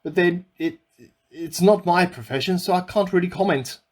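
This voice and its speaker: A man's voice: high voice, moderate pace, darkish tone. A man playing an eccentric amateur scientist who earnestly records a self-important secret diary in a radio play. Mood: weary, musing. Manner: earnest, self-important, musing aloud in dated log entries.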